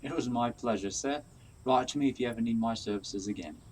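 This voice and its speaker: A man's voice: mid-range voice, brisk, a little mellow, a little hiss or hum, lightly acted, low-quality recording. A man playing a humble, good-humoured coachman in a radio drama, polite and deferential. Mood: courteous. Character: humble, genial male coachman, deferential and joking.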